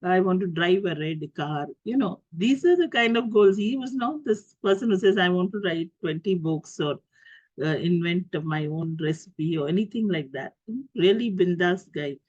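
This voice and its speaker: A middle-aged woman with an Indian English accent speaks through a cold and cough, picking her words with many pauses, fillers and restarts. Her voice comes over a dull, lo-fi video-call line with a dry, close sound. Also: fairly low pitch, animated, darkish tone, dry recording. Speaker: guest speaker. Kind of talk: talk to an online group.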